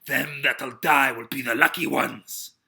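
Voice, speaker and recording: A man voicing a crafty, bullying old sea pirate, growling and swaggering in rough sailor talk as in an adventure storybook. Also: mid-range voice, measured pace, sharp tone, full character voice. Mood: furious, threatening.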